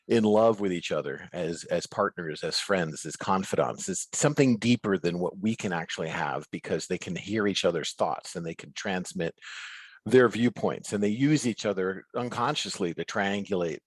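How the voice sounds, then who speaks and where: A man with a very deep, husky voice talks as a guest author. He phrases things earnestly and deliberately, pausing as he reflects. The sound is close and dry, with very little room in it. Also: no echo. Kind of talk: reflective interview conversation over video call. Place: remote video call.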